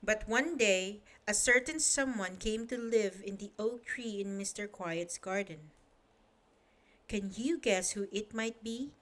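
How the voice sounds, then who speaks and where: A woman reading a children's bedtime story aloud in a lively, expressive way, asking the listener questions. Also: mid-range voice, a little slow.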